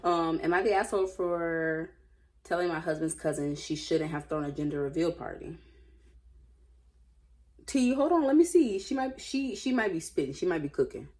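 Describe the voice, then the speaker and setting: A woman reading aloud and commenting casually, with an informal, opinionated, slangy way of musing out loud. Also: fairly low pitch, expressive, normal speed. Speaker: host reading and commenting on online stories.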